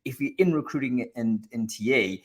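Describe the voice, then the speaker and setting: A man's voice: medium pitch, normal speed. A man hosts a casual live online discussion in a mid-range voice. He addresses his viewers directly and talks in a discursive way. He often repeats words while searching for how to put things. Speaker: host of a live online show about recruiting.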